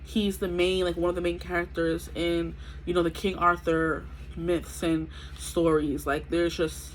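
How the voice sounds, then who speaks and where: A woman's voice: on the low side, little pitch movement, a little slow, slight background noise, poor audio. A woman in her twenties giving a chatty, rambling tarot reading with a stuffy nose from allergies. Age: 20s. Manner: chatty, rambling, many fillers. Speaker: tarot reader. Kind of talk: casual tarot reading to camera.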